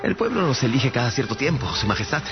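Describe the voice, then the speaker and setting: An actor playing a candid, deferential traveller who answers royalty courteously and matter-of-factly in a radio drama. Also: male voice, medium pitch, very animated, fast talker. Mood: blithe candour. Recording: dull radio drama, music behind.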